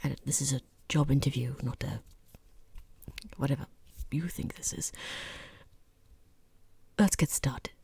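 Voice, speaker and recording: A woman playing a rude, bored, condescending boss in a softly spoken, mostly whispered ASMR roleplay, close to the microphone, with snide, deliberate delivery. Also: a bass voice, heavily acted. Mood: appalled, condescending. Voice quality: breathy, husky whisper.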